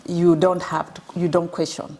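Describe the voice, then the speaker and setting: A woman guest recounting her own life story in an interview, measured and pausing, with frequent fillers. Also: on the low side, flat delivery, a little mellow. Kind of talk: personal life story in conversation.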